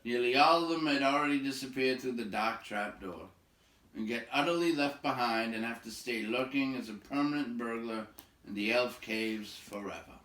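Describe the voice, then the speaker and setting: A man reading a storybook aloud as narrator, with expressive, measured phrasing. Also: mid-range voice. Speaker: audiobook-style reader. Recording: echoey room.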